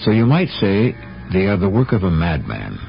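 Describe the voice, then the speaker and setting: An actor as the wry, ominous host of a radio mystery, narrating in a deliberate, theatrical way. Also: male voice, low voice, huge pitch swings, vocal fry, over music, heavily acted. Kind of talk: dramatic scripted radio narration. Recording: dull old radio broadcast.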